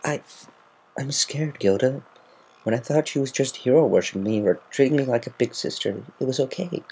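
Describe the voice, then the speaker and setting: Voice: medium pitch, normal speed, darkish tone, slightly rough, voice pushed up, poor audio. A reader performing a brash, proud cartoon pegasus mare, defensive and hurting underneath, in a dramatic fan fiction reading. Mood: anguished, pleading.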